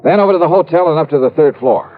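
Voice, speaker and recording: Actor as a cool-headed radio detective, muffled vintage sound. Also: male voice, fairly high pitch, racing, faint music behind, lightly acted. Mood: tense, wry narration. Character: quick-witted, cool-headed male insurance investigator. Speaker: lead actor and narrator of a radio detective series. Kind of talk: 1950s radio acting, first-person crime narration.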